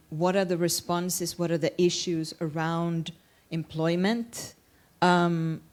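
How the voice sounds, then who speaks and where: Measured woman on a discussion panel. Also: lowish voice, a little mellow. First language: not Finnish. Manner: measured, searching for words. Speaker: panel candidate.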